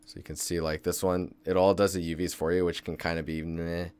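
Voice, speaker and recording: A young man talks through his work on a casual live stream, easygoing and chatty as he answers viewers. His voice sits low and smooth. He is recorded at his desk on a close microphone. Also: flat delivery, fairly quick, a little mellow. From Seattle, Washington State, US. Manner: laid-back, thinks aloud while working. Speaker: game environment artist streaming.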